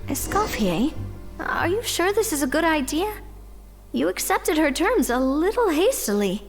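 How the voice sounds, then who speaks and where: Young female companion, candid, teasing, high-pitched, animated, long reverb. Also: unhurried, exaggerated voice. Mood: concerned. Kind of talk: video game voice acting. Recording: long reverb with music behind.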